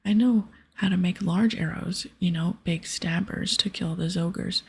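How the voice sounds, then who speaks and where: A woman plays a practical, slightly exasperated quest adventurer in a fantasy video game. The delivery is hushed, very breathy and creaky ASMR, with soft music underneath. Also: lowish voice, fairly even intonation, a little slow, heavily acted. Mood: exasperated, explaining. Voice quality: breathy, often whispered. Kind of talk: game dialogue read aloud in ASMR.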